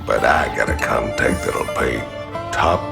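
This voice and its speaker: An actor playing a big, swaggering outlaw convict, gravelly, with a taunting drawl. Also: male voice, unhurried, loud music behind the voice, heavily acted. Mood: sly. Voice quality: gravelly, very deep.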